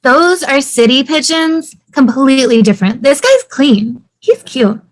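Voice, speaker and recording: Casting: playful, upbeat young sitcom wife woman reading, very high voice, breezy optimism. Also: very animated, unhurried. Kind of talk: sitcom table read.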